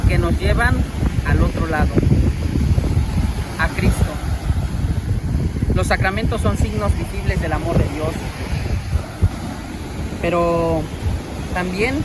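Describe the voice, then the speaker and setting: A man, a parish priest giving a catechetical homily, slow and measured, asking his listeners questions. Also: high-pitched. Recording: loud wind noise outdoors.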